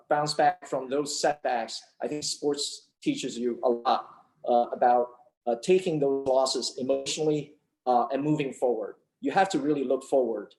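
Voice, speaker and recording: Measured man from Taiwan, echoey room. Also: mid-range voice, a little mellow. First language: not English. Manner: measured, many fillers and restarts. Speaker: sports team owner, guest. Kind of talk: interview answers.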